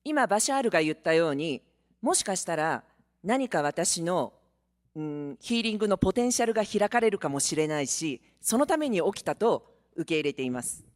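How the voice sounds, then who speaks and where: A woman from Japan asking questions from the floor at a seminar, earnest and lively, in a reverberant hall. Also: lowish voice, rapid-fire. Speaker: audience member asking questions.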